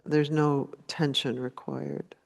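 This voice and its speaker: A woman meditation teacher guides listeners at a notably slow pace. Her delivery is gentle and unhurried, with short phrases set apart by long pauses. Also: low voice, little pitch movement, vocal fry.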